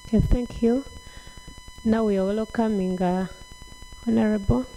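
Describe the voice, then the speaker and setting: Woman chairing, very dark voice. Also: on the high side, soft background music, low-quality recording. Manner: brisk procedural remarks. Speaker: session chair. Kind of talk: chairing a debate. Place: debate hall.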